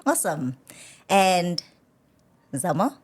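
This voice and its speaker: A woman hosting a conversational interview, animated and repeating words for emphasis. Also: fairly low pitch, slow, slightly rough. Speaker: talk show host.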